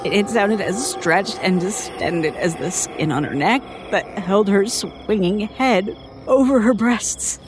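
A woman playing a terrified young female survivor in an audio horror drama, recounting events in a halting, haunted way with stammered repetitions. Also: mid-range voice, close to an everyday voice. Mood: horrified, haunted. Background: music.

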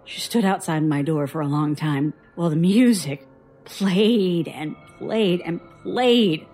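A woman playing a haunted patient who haltingly recounts frightening childhood memories in an audio drama. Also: on the low side, faint music behind. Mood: haunted, tense. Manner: slow, halting, hushed suspense.

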